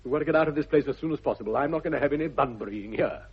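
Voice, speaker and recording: A man playing an exasperated, stuffy upper-class English gentleman, clipped and indignant, in a radio comedy. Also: mid-range voice, rapid-fire, a crowd in the background, natural voice. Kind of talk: 1960s radio comedy of manners acting. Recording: dull 1960s radio recording.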